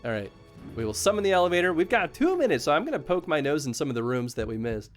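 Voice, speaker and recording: A man streaming a horror game live, chatting casually and yelling excitedly at the action. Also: fairly high pitch, fast, faint music behind. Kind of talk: live gameplay commentary.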